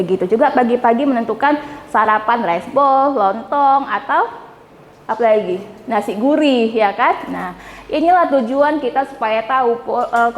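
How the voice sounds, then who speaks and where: A woman's voice: high-pitched, slight background noise. Chatty female lecturer, echoey classroom. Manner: chatty, often asks "ya kan?" for agreement. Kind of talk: classroom lecture with questions to students. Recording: echoey classroom with long reverb.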